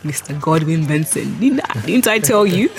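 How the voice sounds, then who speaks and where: A woman hosting a podcast interview, lively and quick to laugh, who reads out her guest's biography. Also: fairly low pitch. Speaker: podcast host.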